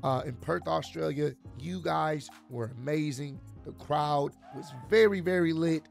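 A man in his thirties with a hoarse, worn-out voice, casually recapping a wrestling show for his channel from a home studio. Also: on the high side, measured pace. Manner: animated, talks with his hands, loose slangy delivery. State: hoarse, voice mostly gone. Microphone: large studio mic on boom arm. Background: soft instrumental music.

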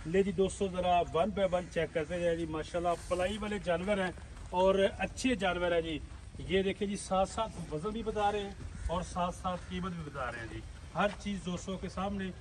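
A man's voice: sits high, normal speed, crowd noise. A man with a Pakistani accent hosting an outdoor livestock video, addressing viewers and briefly echoing what he is told. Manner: frequent short acknowledgements and repeats. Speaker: video host, interviewer. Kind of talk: livestock market video presenting. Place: open-air cattle farm yard. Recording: faint outdoor and vehicle noise.

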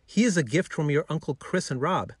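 A man's voice: fairly high pitch, animated, fairly quick, breathy edge, dry recording, clean studio sound. A man voicing a caring, cheerful mother in a children's storybook, in a reassuring manner.

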